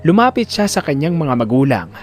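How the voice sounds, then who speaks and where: A man's voice: a little high, very animated, a little fast, faint music behind. A man narrating a scripted audio drama in a dramatic storytelling style.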